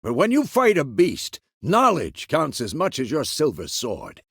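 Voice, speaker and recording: A man playing a gruff, seasoned old monster-hunter mentor in a video game, with gravelly, measured, instructive delivery. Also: on the high side, wildly swooping pitch, dry recording, studio quality, full character voice. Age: older. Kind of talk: video game character dialogue.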